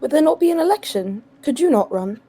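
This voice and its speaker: Female tabletop player, dark warm timbre, persuasive and logical. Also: sits high, lively intonation, brisk. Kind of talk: in-character tabletop role-play discussion.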